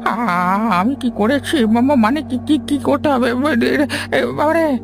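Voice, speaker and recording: A woman voicing a frightened, cowardly village man in a cartoon, stammering and halting in panic. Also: sits high. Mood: terrified. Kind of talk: Bengali cartoon voice acting.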